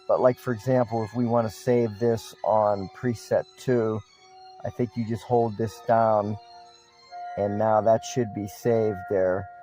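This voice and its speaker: A man's voice: lowish voice, steady tone, measured pace, warm tone, faint music behind. A man giving a casual, unscripted product review in a matter-of-fact, plain-spoken way.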